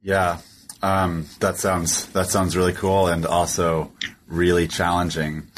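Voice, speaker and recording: Man, interviewer, very low voice, relaxed, halting, faint hiss. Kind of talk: interview questions.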